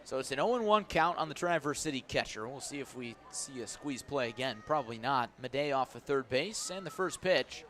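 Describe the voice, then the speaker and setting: A man's voice: mid-range voice, fairly quick, hardly any pauses, slight background noise. A man doing live baseball play-by-play on radio in clipped, descriptive calls that lift when the action picks up. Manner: clipped descriptive calls, rising to excitement on plays. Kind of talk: live sports commentary. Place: outdoor ballpark broadcast position.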